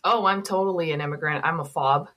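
A woman's voice: sits low, fast, a bit bright. A woman originally from Kashmir who moved to the US as a child, chatting casually and jokily as a comedy guest. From born in Kashmir, moved to the US at seven. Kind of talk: casual comic interview chat.

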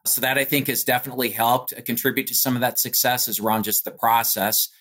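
A man's voice: medium pitch, fairly even intonation, quick pace, fairly bright tone. An American man speaking as a company executive in an interview, explaining things conversationally in polished corporate language peppered with "you know". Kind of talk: business interview answer, conversational.

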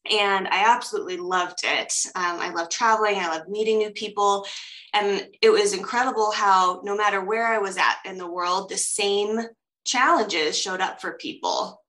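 A woman's voice: medium pitch, fairly even intonation, moderate pace, bright, forceful tone, low-quality recording. An American woman with an American accent, a podcast guest telling her personal story in an interview. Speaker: podcast guest, coach and dancer.

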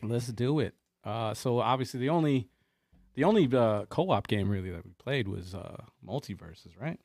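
A man's voice: medium pitch, animated, normal speed, a little mellow, no room sound, clean studio sound. A man hosting a video game podcast, steering the chat with many fillers and hesitations. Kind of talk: casual chat.

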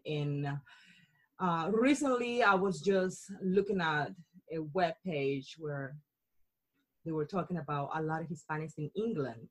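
A woman's voice: fairly low pitch, husky, low-quality recording. Bubbly Colombian-accented woman. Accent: Colombian Spanish. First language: Spanish. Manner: bubbly, laughs often, chatty with frequent restarts. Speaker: podcast host being interviewed. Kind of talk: casual podcast chat.

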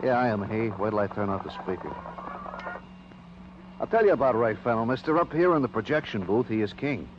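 An actor playing a surly, sarcastic movie projectionist in a 1950s radio crime drama, mocking and defiant. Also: male voice, on the low side, animated, brisk, close to an everyday voice. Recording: muffled old radio, background noise.

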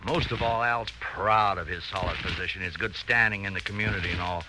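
An actor in a 1940s radio drama playing a scheming, resentful husband, sly and insinuating, on a crackly old recording. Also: male voice, sits low, steady tone, fairly quick, lightly acted. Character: scheming, resentful husband plotting against his rich friend.

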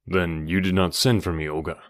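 A man voicing a grave, steady adventurer hero with a serious, measured delivery in an audiobook. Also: low-pitched, no echo, clean studio sound. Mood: puzzled, earnest. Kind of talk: audiobook character dialogue.

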